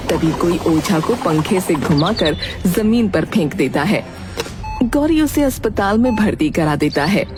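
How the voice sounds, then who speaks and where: A woman giving scripted narration as the storyteller of an animated tale. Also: on the low side, unhurried. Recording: music behind the voice.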